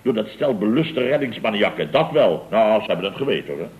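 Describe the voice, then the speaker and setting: A man's voice: lowish voice, lively intonation, brisk, narrow, tinny audio, natural voice. A man playing a blustering, indignant boss of a building firm in a radio comedy, with pompous, theatrical storytelling. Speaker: lead actor in radio comedy serial. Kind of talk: 1970s Dutch radio comedy acting. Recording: vintage radio broadcast recording.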